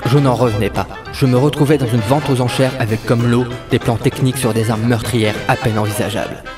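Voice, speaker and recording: A man's voice: medium pitch, fairly even intonation, fairly quick, music in the background, natural voice. A man playing a weary, wry and stubborn 1930s private detective in a French audio drama, narrating and talking in noir style. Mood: brooding narration.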